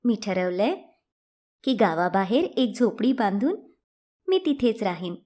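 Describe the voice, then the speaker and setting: A woman's voice: mid-range voice, animated, measured pace, dark tone. A woman voicing a pious, resolute young unmarried woman in a storybook tale, earnest and firm. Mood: calm, devout, firm. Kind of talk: storybook character acting.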